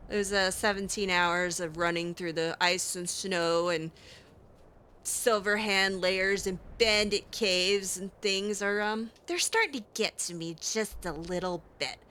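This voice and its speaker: A woman's voice: medium pitch, measured pace, pushed and bright, a little hiss or hum. A woman doing in-character improvised narration of a video game, musing aloud to herself as she goes. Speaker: let's play host roleplaying her character.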